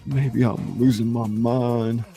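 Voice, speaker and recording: A man's voice: mid-range voice, little pitch movement, slow pace, warm tone, faint music behind. A man playing a melodramatic, exasperated school official in a comedic script reading, sputtering with wounded outrage. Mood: defeated. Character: exasperated school official hunting truant Ferris, wounded and theatrical.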